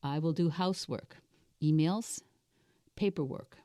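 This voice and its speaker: A woman in her sixties speaks in a low, soft-edged, raspy voice that sounds a bit under the weather. She thinks aloud like a life coach, deliberately posing questions to herself and answering them. The recording is close and dry. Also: dry recording. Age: 60s. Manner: deliberate, reflective, asks and answers own questions. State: unwell with a cold-like illness. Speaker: life coach hosting a solo podcast.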